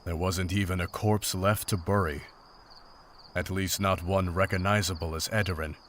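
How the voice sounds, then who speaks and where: Guy acting as a war-weary monster hunter, really low voice, solemn and guilt-haunted, visual novel style. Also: fairly even intonation, a bit bright, slight background noise. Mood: grieving. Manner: solemn, formal, measured storytelling. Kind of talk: visual novel voice acting, dramatic journal narration and dialogue.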